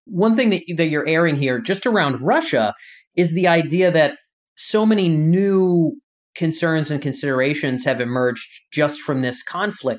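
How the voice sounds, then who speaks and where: A man hosting an interview, talking conversationally and repeating words as he starts sentences. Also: fairly high pitch. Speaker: podcast host.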